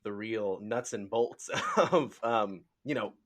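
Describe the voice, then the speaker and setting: A man hosting a podcast in casual interview chat, speaking haltingly and rambling as he searches for words. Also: lowish voice, expressive. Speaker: podcast host.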